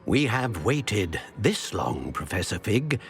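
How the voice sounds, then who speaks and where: A man voicing an ancient guardian of secrets, speaking in a grave, formal, measured way. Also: fairly low pitch, big pitch swings, creaky, faint music behind, full character voice. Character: solemn ancient male keeper of secrets.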